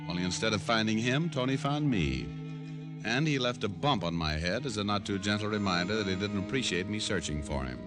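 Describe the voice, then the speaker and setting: An American actor playing a wry, tough private detective, narrating and wisecracking in a vintage radio noir drama. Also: male voice, on the low side, expressive, brisk, natural voice. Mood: wry, determined. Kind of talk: 1940s-50s radio noir acting, first-person narration. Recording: vintage radio recording with music behind.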